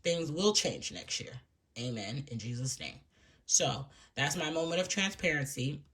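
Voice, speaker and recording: A woman's voice: deep voice, expressive, unhurried. A woman with a stuffy, froggy voice from allergies, chatting casually and rambling a bit as she walks through her budget for viewers at home. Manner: rambling and chatty, with soft laughs and hushed asides. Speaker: budgeting vlogger. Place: room at home.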